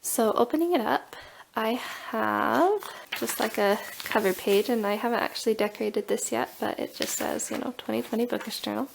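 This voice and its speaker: A woman's voice: fairly high pitch, moderate pace, vocal fry, noisy background. A woman in her thirties with a cold, chatting casually about her journal and thinking aloud with small fillers. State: has a cold. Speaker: booktuber. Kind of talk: casual walkthrough vlog. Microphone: overhead camera on table, hands only.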